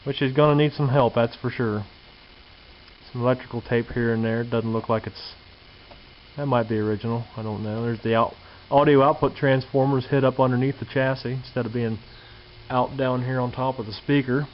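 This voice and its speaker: A man's voice: medium pitch, steady tone, moderate pace. A man giving a casual, hands-on show-and-tell as a hobbyist presenter, musing aloud in a folksy way on a handheld camera mic in a workshop. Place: indoor home workshop or garage. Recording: dull lo-fi camcorder audio with hiss.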